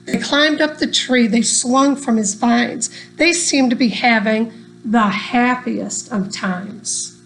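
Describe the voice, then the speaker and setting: A woman reading a rhyming children's story aloud in an expressive, deliberate storytelling manner. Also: on the high side, a little hiss or hum, low-quality recording. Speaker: storybook reader.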